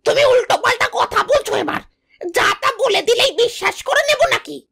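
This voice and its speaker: A woman's voice: extremely high pitch, a little fast, gravelly, a bit bright, exaggerated voice. A woman voicing a greedy young animal sidekick in a cartoon, whining and pleading as it talks. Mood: whiny. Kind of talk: cartoon voice acting.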